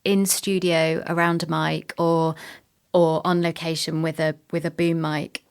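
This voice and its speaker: A middle-aged woman with a fairly low voice talks casually as an interview guest. She explains her production work in a chatty, unscripted way. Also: level tone, unhurried, clear, resonant tone. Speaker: radio drama producer and sound designer, podcast guest.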